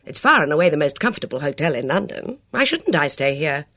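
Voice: medium pitch, big pitch swings, no room sound, studio quality. A reader voicing a bold, reckless middle-aged woman who speaks sharply and commandingly. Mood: imperious. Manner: brisk, imperious, sharp.

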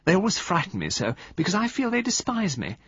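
A man's voice: high voice, huge pitch swings, a little fast, a bit bright, breathy edge, dry recording, muffled recording, voice pushed up, exaggerated voice. A man putting on a gushing drawling society belle.

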